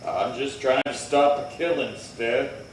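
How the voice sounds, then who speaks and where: A man playing a steady, grave Old West marshal in a radio drama, measured and persuasive. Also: mid-range voice, natural voice. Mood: grave, persuading. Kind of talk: Western radio drama acting. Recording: echoey hall, distant mic, faint noise.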